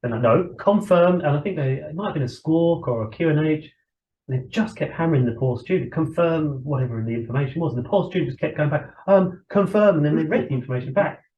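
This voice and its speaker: A man's voice: a little high, fast. A British man chats informally in a classroom, telling stories and acting out little quotes. The room is roomy and echoey, and the sound is slightly dull. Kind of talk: informal classroom discussion with anecdotes. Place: indoor ground school classroom.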